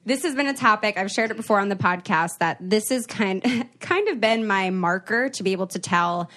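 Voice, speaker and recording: A young woman hosting a casual podcast interview, engaged and quick to laugh. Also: mid-range voice, animated. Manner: warm, engaged listener with frequent laughs. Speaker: podcast host.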